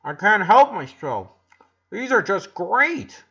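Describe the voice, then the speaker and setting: A man's voice: sits high, sing-song, slow, gravelly, pushed and bright, breathy edge, muffled recording, voice pushed up, heavily acted. A man voicing a kind, earnest eleven-year-old boy in an audiobook story, reassuring and a little hesitant. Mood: eager, delighted.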